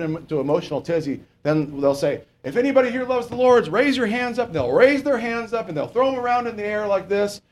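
Male pastor preaching in a casual, questioning way. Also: on the high side. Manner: conversational preaching with rhetorical questions and joking asides. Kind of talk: sermon. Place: church.

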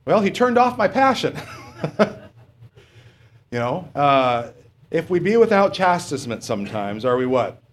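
A middle-aged man preaches in a plain, unpolished way, with a slightly high voice. He stops often on fillers and throws rhetorical questions at his listeners. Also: very animated, unhurried, pauses often, a little hiss or hum. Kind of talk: sermon.

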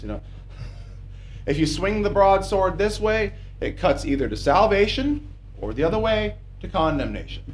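A man's voice: fairly high pitch, slight background noise. A man preaching a sermon from the pulpit of a small church, emphatic and conversational, often checking in with "okay?". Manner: emphatic, rising to insistent peaks, asks the congregation "okay?".

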